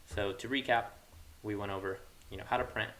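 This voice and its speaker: A man's voice: fairly low pitch, fairly even intonation, fairly quick, a bit bright. A young man giving a casual coding tutorial, informally thinking aloud as he works. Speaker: programming tutorial host. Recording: hissy mic with keyboard clatter. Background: computer keyboard typing, hiss.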